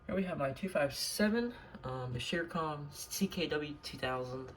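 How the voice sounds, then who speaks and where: A man's voice: a little high, expressive, normal speed. A man giving a casual, unscripted show-and-tell in an informal, mumbly way, recorded at home. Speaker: hobbyist showing a weather radio collection. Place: room at home. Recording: noisy home recording with clicks.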